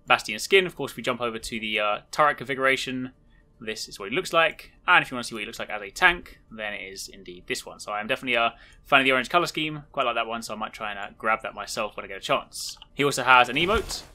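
A man hosts a gaming video in a casual, enthusiastic way. His voice is bright and forceful. He talks rapidly as he walks viewers through what is on show. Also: medium pitch, lively intonation. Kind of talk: upbeat video walkthrough commentary.

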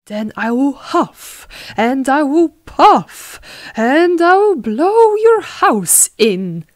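A storybook big bad wolf, acted by a woman, menacing and wheedling. The delivery is very slow and emphatic, with lively swoops in pitch. The sound is close and dry. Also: no echo, exaggerated voice. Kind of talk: storybook fairy tale character voice.

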